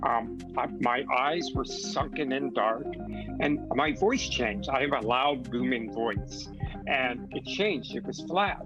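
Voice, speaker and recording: A man speaking candidly as an interview guest, earnest and reflective, with halting restarts. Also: medium pitch, lo-fi sound, over music. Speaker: magazine editor, interview guest.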